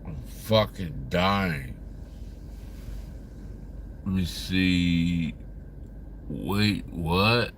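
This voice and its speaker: Slow, deep-voiced man in his fifties. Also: fairly bright tone, slight background noise. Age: 50s. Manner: rambling, profane, talks to chat. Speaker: livestreamer. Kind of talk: casual livestream chat, reading viewer comments aloud.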